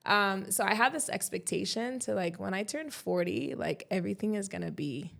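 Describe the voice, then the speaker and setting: Late-30s woman guest on a podcast, gravelly fry in her voice, chatty, keeps quoting her own thoughts. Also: mid-range voice, normal speed. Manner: chatty and animated, breaks into quoted inner voices, laughs often. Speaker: podcast guest, café founder and life coach. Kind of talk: casual interview chat.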